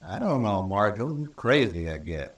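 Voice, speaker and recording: A male actor playing a surly, evasive American cowhand with rough rural speech in a 1950s radio western. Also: fairly low pitch, very animated, moderate pace. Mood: defensive. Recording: old radio recording, roomy and distant.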